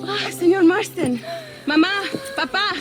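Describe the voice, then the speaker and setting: An actress playing an earnest, fearless young Mexican revolutionary in a video game, speaking formally and with passion in a Mexican accent. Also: female voice, very high-pitched, expressive, measured pace, loud music behind the voice. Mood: resolute. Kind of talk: video game cutscene acting.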